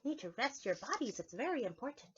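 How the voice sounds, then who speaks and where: A woman's voice: on the high side, big pitch swings, fast, fairly bright tone, highs cut off, slight background noise. A woman preschool teacher reads a picture book aloud to young children, expressively drawing out words and addressing them directly.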